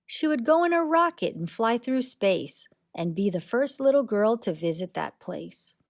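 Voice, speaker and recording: Slow-paced woman reading a children's story. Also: on the high side. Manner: expressive, deliberate storytelling. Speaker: read-aloud storyteller. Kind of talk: children's picture book read-aloud, rhyming narration.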